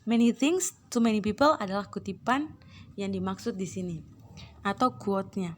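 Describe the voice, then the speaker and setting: A woman teacher with an Indonesian accent, hoarse from a cold, reading English captions slowly and explaining them, clearing her throat often. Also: mid-range voice, a little hiss or hum. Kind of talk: online English lesson, reading then translating.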